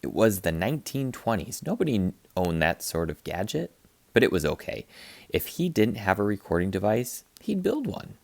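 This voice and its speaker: A man reading a children's picture book aloud as a teacher, with animated, dramatic emphasis. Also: lowish voice, normal speed, creaky, dry recording. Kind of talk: expressive children's read-aloud narration.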